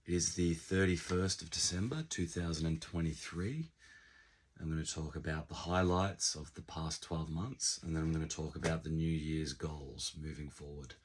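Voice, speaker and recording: A man with a croaky, hoarse voice, still sick, talking over his year in a reflective, unhurried vlog with many ums. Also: rumbling low, fairly even intonation, slight background noise. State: hoarse, recovering from illness. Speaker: channel creator. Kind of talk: casual vlog monologue. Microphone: unfamiliar backup microphone.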